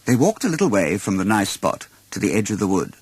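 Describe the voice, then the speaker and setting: Expressive male storyteller with swooping intonation. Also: on the low side, brisk, breathy edge, dry recording. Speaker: storyteller, audiobook reader. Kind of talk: expressive children's storybook narration.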